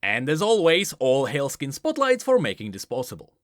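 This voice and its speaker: A man's voice: medium pitch, huge pitch swings, moderate pace, no echo, clean studio sound. A man giving scripted, explanatory commentary on video game lore in an emphatic way.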